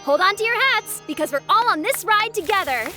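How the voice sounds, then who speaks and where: A woman's voice: very high-pitched, lively intonation, brisk, music in the background. A woman voicing an enthusiastic, formal championship announcer in a video game, polished and ceremonious. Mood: excited. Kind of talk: video game character acting.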